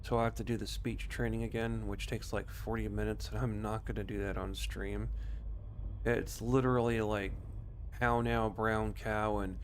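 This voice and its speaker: Male game streamer: lowish voice, loose rambling chat, informal, faint noise behind. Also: little pitch movement, moderate pace. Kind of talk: casual livestream chat with voice commands.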